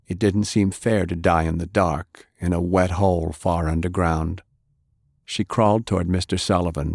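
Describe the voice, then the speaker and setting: A man reading fiction as an audiobook narrator, dramatic and grave, with measured delivery. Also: deep voice, darkish tone, no room sound, clean studio sound.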